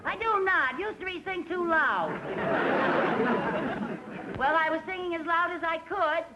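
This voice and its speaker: A woman's voice: very high-pitched, unhurried, highs cut off, over a noisy crowd, very noisy. A woman playing an eager, pushy comic lead in a 1960s sitcom, with a brassy delivery. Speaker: comic lead singing in a quartet. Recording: vintage TV soundtrack with studio audience and music.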